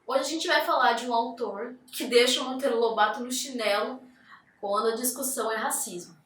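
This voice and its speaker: A woman host with a bright, forceful voice pitched a little high talks in a chatty, jokey way full of fillers. She sits somewhat back from the mic in an echoey room with a faint hum of ambience. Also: brisk, distant mic. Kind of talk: casual interview hosting.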